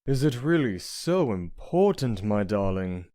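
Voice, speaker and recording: A man's voice: lowish voice, big pitch swings, measured pace, mellow, dry recording, clean studio sound. A man playing a suave, flirtatious prince in a comic dub, genteel and self-assured. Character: suave, flirtatious, penniless prince with courtly charm.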